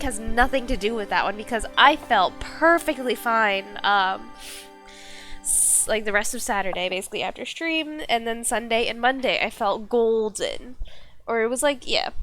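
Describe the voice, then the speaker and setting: A woman's voice: a little high, fairly bright tone. A woman with a cold talks loosely on a live stream, her voice thick and stuffy. She rambles along with plenty of ums and likes. Faint music plays behind her. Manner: chatty, rambling, many fillers. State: congested, slight cold. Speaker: streamer playing a visual novel. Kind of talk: casual live-stream chat.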